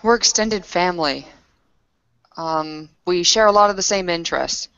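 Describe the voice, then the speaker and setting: A woman with a lowish voice improvises dialogue in a tabletop roleplaying game. Her phrasing is halting and hesitant. The recording is dry and slightly dull. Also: fairly bright tone, clear, resonant tone, dry recording.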